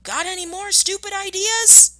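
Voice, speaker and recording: A woman voicing a tiny pot-bellied storybook farmer man, cheeky, taunting and shouty. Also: extremely high pitch, steady tone, moderate pace, no room sound, pitched above the performer's own voice.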